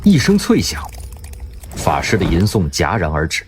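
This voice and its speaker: Expressive male drama narrator. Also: low-pitched, normal speed. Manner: vivid, expressive storytelling. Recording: music underneath.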